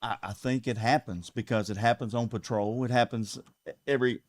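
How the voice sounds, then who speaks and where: A man's voice: mid-range voice, fairly even intonation, brisk, no room sound. Earnest Southern man, dry close sound. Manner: earnest, repeats words as he builds his points. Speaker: sheriff candidate. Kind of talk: casual interview talk. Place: local TV studio.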